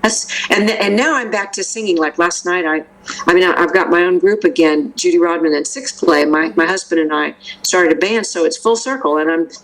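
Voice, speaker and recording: Older woman, vocal coach, interview chat, fillers and restarts, somewhat low voice. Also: brisk, rough recording. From Memphis area, US. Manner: chatty, rambling with many restarts and fillers. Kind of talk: casual interview talk.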